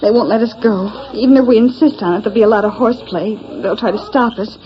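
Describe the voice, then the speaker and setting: Actress as anxious, high-voiced young wife. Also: female voice, quick pace, lightly acted. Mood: distressed. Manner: pleading, dramatic. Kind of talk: 1940s radio drama acting. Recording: muffled, faintly noisy 1940s radio recording.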